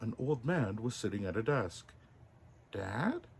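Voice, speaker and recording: A man narrating a children's storybook as Santa, in an expressive, deliberate way. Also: fairly low pitch, slightly rough.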